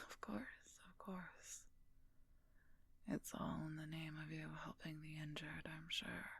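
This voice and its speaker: A woman voicing a gruff, teasing female werewolf knight in an intimate audio roleplay, speaking in a breathy, husky whisper with dry, slow delivery close to the microphone. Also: little pitch movement, fairly bright tone, exaggerated voice. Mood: wry, teasing. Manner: slow, dry, teasing, addresses listener as Highness.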